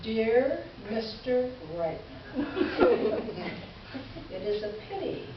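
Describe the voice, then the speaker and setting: A woman's voice: on the high side, animated, extremely slow. Echoey distant hall, woman playing indignant lady columnist, formal and pointed, stage letter reading, muffled sound. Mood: pointedly polite. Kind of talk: reader's theater, dramatic letter reading. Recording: echoey hall from far off, dull, hissy.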